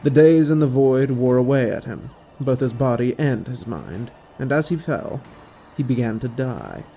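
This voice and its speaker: A man narrating a scripted audio drama as a measured, dramatic storyteller. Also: medium pitch, big pitch swings, vocal fry, dark tone, slight background noise.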